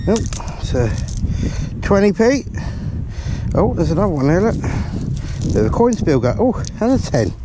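A man with an excitable, chatty manner, giving running commentary to viewers while metal detecting on a beach, recorded on a body-worn camera outdoors. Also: high voice, unhurried, long pauses. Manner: excitable, swears when thrilled, chatty asides to viewers. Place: outdoors on a pebble and sand beach at dusk. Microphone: body-worn action camera. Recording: outdoor beach recording with clear background noise.

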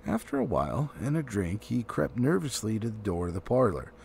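Animated gravelly male storyteller. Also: on the low side, a little fast, a little hiss or hum. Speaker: amateur book reader.

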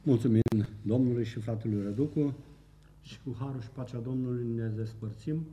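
Older man, low dark voice from afar. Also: slight background noise. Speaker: church elder closing the service. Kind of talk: brief church responses and dismissal. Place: church hall.

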